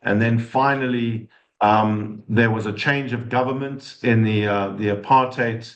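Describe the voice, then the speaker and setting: Halting South African man on a video call. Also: mid-range voice, steady tone, lo-fi sound. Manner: halting, many fillers and restarts. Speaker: speaker. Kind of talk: online talk to an audience. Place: office with glass walls. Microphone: video call webcam.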